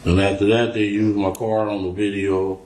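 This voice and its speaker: Low-voiced man telling slangy stories, roomy recording. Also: flat delivery, normal speed, a little mellow. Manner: profane, slangy storytelling, acting out quoted exchanges. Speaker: suspect being questioned by police. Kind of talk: casual street-slang recollection in a recorded interview. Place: echoey room, recorded police interrogation. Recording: roomy, noisy police interview recording.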